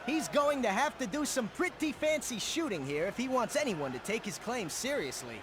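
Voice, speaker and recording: An excitable game commentator, extremely high and animated. He talks in glib broadcast banter, with clear noisy ambience around him. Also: normal speed. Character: excitable male sports-style commentator, glib. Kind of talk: parody sports commentary in a game.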